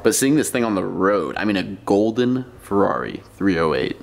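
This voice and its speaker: Excitable young man vlogging in a garage. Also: lowish voice, moderate pace, gravelly. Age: young adult. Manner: excited, rambling with restarts. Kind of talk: casual vlog chat. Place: car shop garage and parking lot at night.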